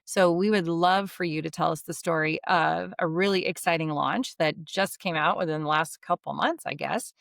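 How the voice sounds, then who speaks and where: Enthusiastic woman podcast host, lower voice, dry close mic. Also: normal speed, gravelly, few pauses, dry recording. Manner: upbeat, enthusiastic interviewer. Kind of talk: podcast interview.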